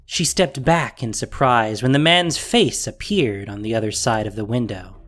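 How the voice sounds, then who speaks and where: A man's voice: medium pitch, normal speed, a bit bright. A man narrating a fantasy audio drama in a scripted, dramatic storytelling style.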